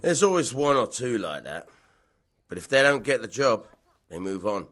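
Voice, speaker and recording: An actor playing a gruff, dismissive medieval town bailiff in a video game, husky and matter-of-fact. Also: male voice, medium pitch, very animated, moderate pace, fairly bright tone. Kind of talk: video game dialogue acting.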